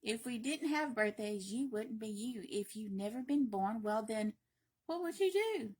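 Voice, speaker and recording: A woman's voice: a little high, expressive, a little slow, a little mellow, slightly rough. A woman voicing an exuberant, bossy birthday bird from a children's storybook, urging and exclaiming emphatically. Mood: playful.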